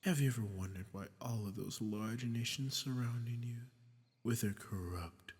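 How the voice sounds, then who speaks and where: A man playing a smug, sadistic archdemon in a scripted audio roleplay, speaking to the listener with condescending menace and breathy murmurs. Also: medium pitch, moderate pace, a little mellow, natural voice. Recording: long cavernous reverb.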